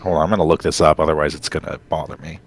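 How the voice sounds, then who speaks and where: A man with a low voice talks casually as a podcast co-host. He explains at length and wanders off into side stories in a conversational way. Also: little pitch movement, very fast. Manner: rambling, explanatory, digressive storytelling.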